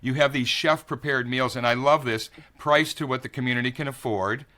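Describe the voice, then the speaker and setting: An upbeat man hosts a conversational interview, his voice bright and ringing at an ordinary mid pitch. He leads in by reading his guests' introductions. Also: fairly even intonation, fairly quick.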